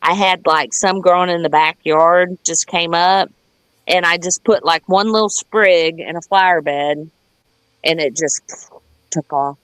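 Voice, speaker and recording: A woman's voice: lowish voice, a little slow, a bit bright, smooth, no echo, poor audio. A woman with a Southern US accent chatting casually in a folksy, plain-spoken way as a gardener on a livestream. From United States. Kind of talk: casual rambling chat.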